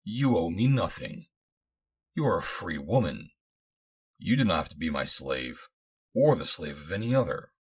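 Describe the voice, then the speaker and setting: Narrator playing male adventurer, husky, lively intonation, commanding yet gentle, formal, earnest, audiobook dialogue. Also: low-pitched, moderate pace, pauses often, heavily acted. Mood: soothing.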